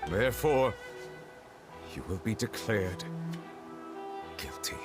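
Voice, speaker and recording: An actor playing a grave, dignified judge with a formal, measured delivery in a video game drama. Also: male voice, fairly low pitch, animated, over music. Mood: solemn, regretful. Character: grave, dignified male chief judge, restrained and sorrowful.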